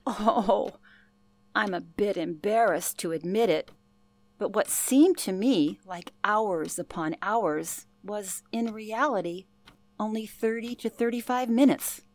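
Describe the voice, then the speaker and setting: A woman's voice: mid-range voice, slow pace, darkish tone, no echo, lightly acted. An actress playing an older former telephone operator, telling her past in an earnest, genteel way for a radio play. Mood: wry reminiscing. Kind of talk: radio play, interview-style reminiscence.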